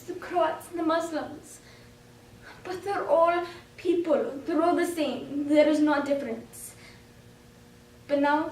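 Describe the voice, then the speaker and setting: A woman performing a dramatic monologue as a frightened, anguished young wartime girl, emotive and deliberate in delivery. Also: high-pitched, darkish tone. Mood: bitter, indignant. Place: indoor room, recorded from a distance. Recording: roomy echo, distant microphone.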